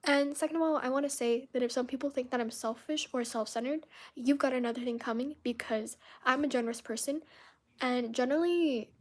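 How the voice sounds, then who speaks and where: Young woman, Spanish first language, high voice, casual emphatic live-stream venting with lots of fillers. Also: fairly quick. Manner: venting, emphatic, filler-heavy with frequent restarts.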